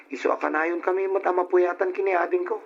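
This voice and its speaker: A lo-fi recording of a troubled young man in a radio drama. His voice is fairly high, and he talks earnestly, respectful but aggrieved. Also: fairly even intonation, quick pace, gravelly. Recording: dull, lo-fi old radio recording.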